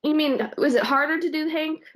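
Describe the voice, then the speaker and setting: A woman's voice: high-pitched, brisk. A woman who sings in a cover band, answering interview questions in a casual, hesitant way with lots of fillers and restarts. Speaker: singer in a cover band, guest.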